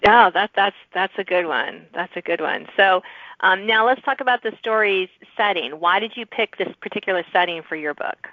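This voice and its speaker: A woman's voice: mid-range voice, moderate pace, no echo. A woman hosting a podcast, interviewing a guest in a casual, conversational way. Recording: muffled, lo-fi audio.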